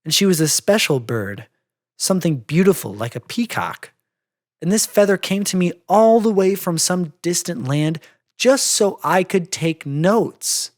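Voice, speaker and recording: Brief: narrator playing unflappable female cartoon pony, middle pitch, unhurried, reasonable and explanatory. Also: animated, a little mellow, natural voice. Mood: wistful.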